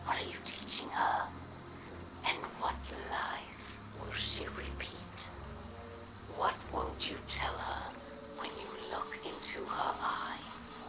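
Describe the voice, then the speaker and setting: A woman voicing a bitter female alien warlord in a video game, speaking deliberately and accusingly with a breathy, gravelly voice. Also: in a whisper, lightly acted, low-quality recording. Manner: slow, deliberate, accusatory speech. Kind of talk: video game dramatic monologue. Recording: loud music behind.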